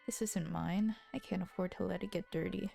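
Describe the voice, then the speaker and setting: A clever young boy who speaks gently and politely. His voice sits a little lower and warm. Faint music plays behind him. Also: steady tone, fairly quick, creaky. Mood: gentle. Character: clever bookish boy, gentle older brother.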